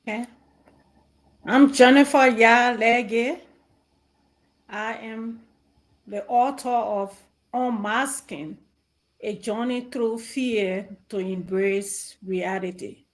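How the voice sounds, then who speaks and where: Slow, deliberate Liberian woman. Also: on the high side, fairly even intonation. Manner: measured, deliberate, halting phrases. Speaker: author, interview guest.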